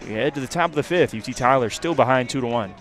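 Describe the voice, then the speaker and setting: A man's voice: mid-range voice, expressive, fast talker, a bit bright. A man calling a baseball game live as a radio play-by-play announcer. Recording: outdoor ballpark crowd and ambient noise. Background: ballpark crowd.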